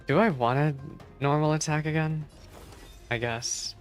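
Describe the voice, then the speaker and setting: Casting: mid-pitched game streamer, unhurried, exclamatory casual reactions, game music behind. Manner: expressive, exclamatory. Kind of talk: casual live gaming commentary. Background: video game music.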